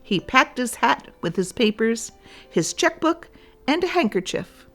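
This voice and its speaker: A woman reading a storybook aloud for children, deliberately and addressed straight to young listeners. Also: on the high side, very animated, soft background music.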